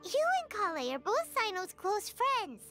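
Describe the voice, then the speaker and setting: A woman's voice: extremely high pitch, big pitch swings, moderate pace, fairly bright tone, soft background music, full character voice. A woman voicing a small, perky, childlike floating fairy companion in a video game, with a bubbly manner. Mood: thoughtful. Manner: bubbly, refers to herself in third person.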